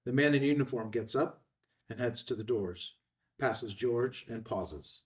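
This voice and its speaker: A man's voice: medium pitch, expressive, a little slow, recorded from a distance. A man reading scene headings and stage directions in a clipped, scripted narration at a table read.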